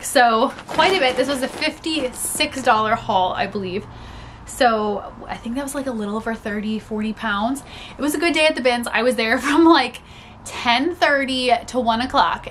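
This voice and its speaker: A woman's voice: a little high, fairly bright tone, a little hiss or hum. Chatty young woman with a slight cold. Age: young adult. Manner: chatty, upbeat, frequent "like" and laughs. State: slightly congested. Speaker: reseller vlogger. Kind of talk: casual haul vlog. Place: small home room with storage bins, indoors.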